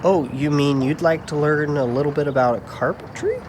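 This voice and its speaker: A man playing a gentle, weary older widowed carpenter in a puppet show. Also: fairly high pitch, moderate pace, background noise, natural voice. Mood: kindly. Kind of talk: church puppet show dialogue.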